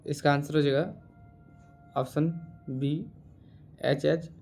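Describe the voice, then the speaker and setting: Higher-voiced, even-toned man quizzing. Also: faint music behind. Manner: repeats each answer deliberately. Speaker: quiz teacher. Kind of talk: reading out exam quiz questions and answers.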